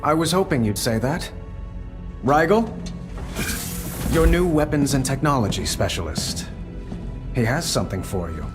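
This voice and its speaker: A man playing an earnest, dignified emperor in a video game, speaking in a formal, measured way. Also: mid-range voice, animated, over music, natural voice. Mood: pleased. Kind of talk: video game cinematic acting.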